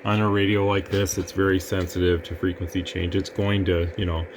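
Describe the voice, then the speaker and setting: A man testing radio gear in a relaxed, rambling way, breaking into mic checks and counting. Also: sits low, brisk, slight background noise. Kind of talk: casual product demo.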